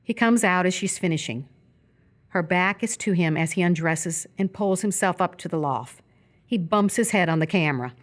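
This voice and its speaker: A woman's voice: fairly low pitch, moderate pace. A woman reading scripted stage directions as the narrator of a live radio theatre piece.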